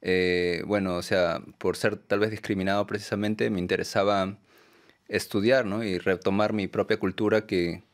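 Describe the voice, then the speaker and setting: A Peruvian man, a documentary filmmaker, answering interview questions in a soft, hesitant way with many fillers and tag questions. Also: on the low side, fairly even intonation, normal speed. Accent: Peruvian Spanish. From Peru.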